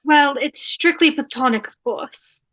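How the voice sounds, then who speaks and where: A woman's voice: high voice, unhurried, a bit bright, low-quality recording. A woman on a video call table read, playing a posh, prim and gracious character. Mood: prim, breezy. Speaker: amateur table-read actor. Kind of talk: fan table read of TV comedy script.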